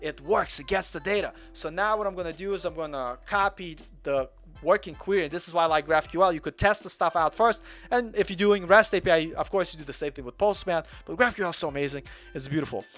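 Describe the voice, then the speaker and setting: A young man from Russia explains his code in a relaxed, chatty way, wandering off on small tangents and poking fun at himself. His voice sits a little high for a man. Soft music plays faintly underneath. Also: fast. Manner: chatty, rambling, self-deprecating. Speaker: coding tutor. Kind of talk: casual live coding walkthrough.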